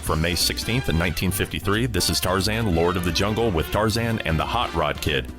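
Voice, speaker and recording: A man's voice: low-pitched, brisk. A man hosting a radio show, delivering a scripted introduction. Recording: music bed under the voice. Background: music.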